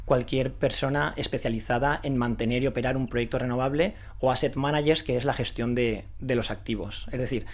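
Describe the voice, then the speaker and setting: Fast-talking man, guest founder. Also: medium pitch, fairly even intonation. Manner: fast talker with many restarts and fillers. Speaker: founder of a renewable energy recruitment consultancy. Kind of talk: casual interview answers.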